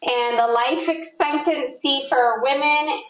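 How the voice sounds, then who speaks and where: A woman in her forties speaks quickly and chattily, often finishing her co-host's sentences. Her voice sits a little high. The echoey room rings around her. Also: crowd noise. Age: 40s. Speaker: financial advisor, podcast co-host. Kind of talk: casual podcast discussion.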